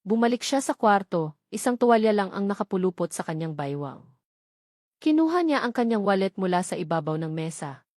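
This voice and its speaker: A woman's voice: mid-range voice, big pitch swings, a little fast, a little mellow, no room sound. Female audiobook narrator, Filipino accent, mid-range voice, dramatic romance storytelling, close dry sound.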